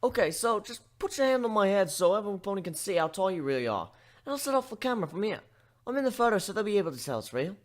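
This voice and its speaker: A man voicing an eager, inquisitive female pony researcher in a story reading, chatty and excitable. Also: high voice, fast talker, pitched above the performer's own voice. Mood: businesslike, eager.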